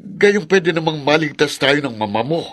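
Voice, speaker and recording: A man's voice: on the high side, animated, moderate pace, a bit bright. An actor playing a stern, pushy middle-aged father in a horror radio drama. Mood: urgent, scolding. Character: stern, pushy father urging his son to kill.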